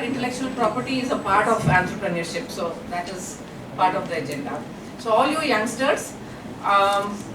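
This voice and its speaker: A middle-aged woman giving a lively guest lecture to college students, chatty and engaging, often asking the audience questions. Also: mid-range voice. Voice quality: loud voice. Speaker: invited guest speaker. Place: college hall. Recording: echoey hall, far from mic, noisy.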